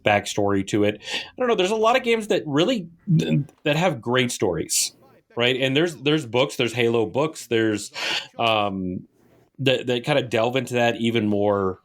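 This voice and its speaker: Mid-pitched man in loose, casual chat. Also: lively intonation, unhurried. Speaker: podcast co-host. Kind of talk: casual conversational video game chat.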